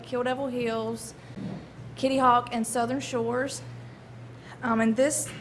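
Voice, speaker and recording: A woman with a fairly high voice speaks for a wellness committee at a town board meeting, reading out facts and names in a plain, matter-of-fact way. The meeting-room recording carries noticeable background noise. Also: fairly bright tone. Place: town hall meeting chamber.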